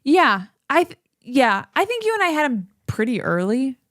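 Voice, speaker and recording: A woman's voice: high voice, sing-song, normal speed, a bit bright, dry recording, clean studio sound. A woman in her thirties, a podcast guest, chatting casually and laughing often. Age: 30s. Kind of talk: casual chat.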